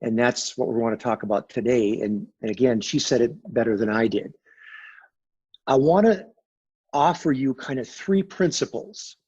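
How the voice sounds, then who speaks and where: A man speaking with a husky, raspy voice in a measured, emphatic, pragmatic way. He talks as a guest consultant in a business interview. The recording sounds dull and lo-fi. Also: medium pitch, a little mellow.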